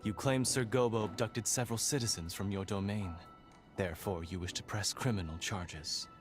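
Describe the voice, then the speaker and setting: Man acting a deepish-voiced, formal magistrate. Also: normal speed, over music, lightly acted. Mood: measured, official. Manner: formal, stern, diplomatic. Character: measured, formal judicial magistrate keeping order. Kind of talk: scripted video game cutscene acting.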